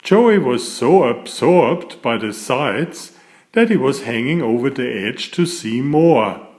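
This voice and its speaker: Slow, animated man reading a storybook. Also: medium pitch. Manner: deliberate, expressive storytelling. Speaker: author reading aloud. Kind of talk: children's picture book narration.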